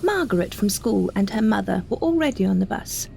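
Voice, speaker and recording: A woman performing an audio drama monologue as an older woman wryly recalling her childhood, in a measured, precise, slightly husky voice with deliberate pauses. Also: medium pitch, expressive, mellow, slight background noise. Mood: wistful, confiding. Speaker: monologue narrator. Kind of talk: scripted first-person audio drama narration.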